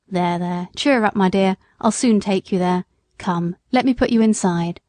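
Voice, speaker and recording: A woman's voice: mid-range voice, normal speed, a little mellow, no room sound, lightly acted. Woman reading as a kindly, firm working cabman. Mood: warm, reassuring. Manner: firm, fatherly, reassuring. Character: kindly, plain-spoken working man, a London cab driver.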